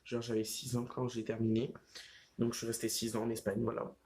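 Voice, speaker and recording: A teenage boy chats casually into the camera at home. He rambles, stacking fillers and restarts as he goes. His voice sits at a middle pitch. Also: monotone, fairly quick, a little mellow. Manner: rambling, heavy use of fillers like "genre" and "euh". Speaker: vlogger. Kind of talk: casual vlog chat.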